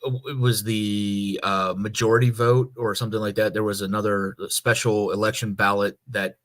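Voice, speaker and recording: An American man chats as a podcast co-host in a somewhat low voice. He explains things loosely and haltingly, in a casual conversational style. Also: little pitch movement, fairly bright tone. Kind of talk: casual chat.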